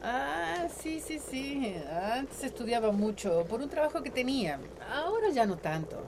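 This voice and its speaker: An actress playing a hospitable, chatty herb grower in a radio drama, with a Central American accent and effusive interjections. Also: female voice, sits high, very animated, unhurried, heavily acted. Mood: evasive. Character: Eugenia, hospitable, chatty woman who grows herbs. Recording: clearly noisy background.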